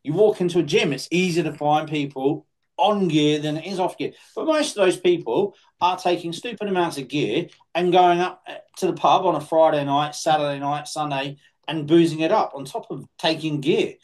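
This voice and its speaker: A man talks bluntly in a casual podcast chat. His voice sits fairly high for a man and often jumps up in pitch when he presses a point. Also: moderate pace. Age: late 40s. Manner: blunt and swearing, animated rises in pitch. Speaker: podcast host.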